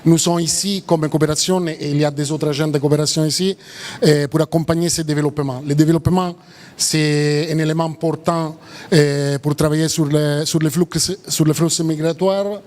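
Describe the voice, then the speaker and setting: Italian-accented man giving a speech, kinda high voice, stops and restarts a lot. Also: steady tone, darkish tone. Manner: halting, repeats words, drawn-out pauses. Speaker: cooperation official. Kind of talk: public closing remarks.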